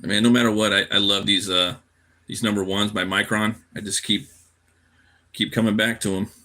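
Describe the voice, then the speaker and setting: A man's voice: on the low side, flat delivery, moderate pace, pushed and bright. A tired man chatting casually on a livestream while he draws, laid-back and slangy, half muttering to himself. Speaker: cartoonist live streamer.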